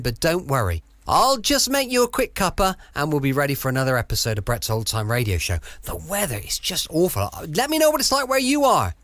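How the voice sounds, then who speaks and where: A man's voice: fairly high pitch, fairly bright tone, no echo. A man, introducing a late-night podcast in a chatty, informal, friendly way. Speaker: podcast host. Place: at home, close dry recording.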